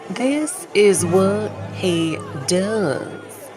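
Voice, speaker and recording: Slow, swooping, snide mother played by an actress. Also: mid-range voice, animated. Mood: scornful. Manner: theatrical, biting sarcasm. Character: disapproving, snide mother of adult daughters at a wedding. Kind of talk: audio drama acting. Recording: music and crowd chatter behind. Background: music and crowd.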